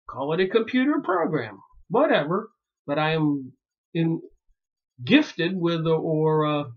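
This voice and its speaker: A man from Pennsylvania musing aloud in a rambling solo monologue, pausing often and filling gaps with "uh". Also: on the high side, a bit bright. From Pennsylvania, United States. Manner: slow and musing, many pauses and fillers. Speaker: video commentator. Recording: dull lo-fi recording.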